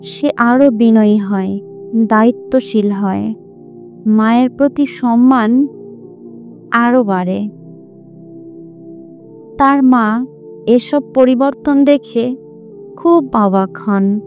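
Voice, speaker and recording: Woman telling an inspirational story, mellow soft-edged tone, faint music behind, phrases measured and deliberate. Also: a little high. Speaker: narrator of religious stories. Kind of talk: scripted inspirational storytelling narration.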